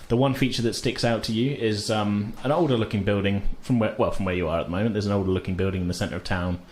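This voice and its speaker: A man's voice: on the low side, fairly even intonation, quick pace, darkish tone, a little hiss or hum. Man narrating a tabletop game. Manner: informal, hesitant, chuckling asides. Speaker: game master.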